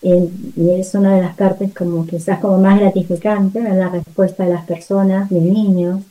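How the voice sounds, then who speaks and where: A woman's voice: medium pitch, level tone, moderate pace, phone-quality sound. A woman missionary nun giving spontaneous interview testimony in an earnest, reflective way.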